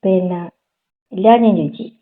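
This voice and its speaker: Husky woman over a tinny phone line. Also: mid-range voice, fairly quick. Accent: Kerala Malayalam, northern. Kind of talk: casual intimate phone chat. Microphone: telephone call.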